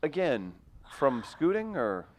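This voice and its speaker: A man's voice: fairly high pitch, big pitch swings, slow. A man hosting a live talk show, introducing his crew and guests with dry, wry remarks. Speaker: host. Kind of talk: live radio show banter.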